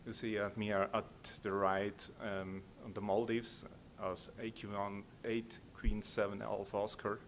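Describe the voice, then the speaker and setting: Middle-aged man, Swiss accent, lowish voice, halting conference presentation, rumbling background noise. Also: level tone. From Switzerland. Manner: halting, hesitant delivery. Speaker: conference speaker. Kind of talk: informal slide presentation.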